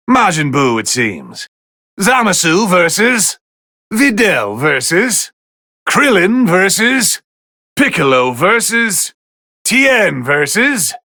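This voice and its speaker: A haughty god of destruction as a video game fight commentator. The voice is bright, sharp and fairly high for a male, swooping with condescending, theatrical refinement. He sounds like he is easily bored by mere mortals. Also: very animated, slow, no echo, clean studio sound, heavily acted. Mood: curious, announcing. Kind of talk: English video game voice lines, match commentary.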